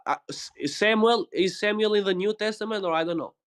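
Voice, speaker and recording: A man whose first language is Albanian, speaking in a casual online voice-chat debate, halting and repeating himself as he asks for his turn. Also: sits high, a bit bright. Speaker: debate participant. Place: voice chat call.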